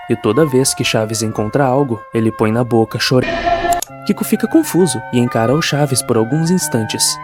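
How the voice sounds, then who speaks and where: A man with a Brazilian accent reading a scripted horror story as its narrator. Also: medium pitch.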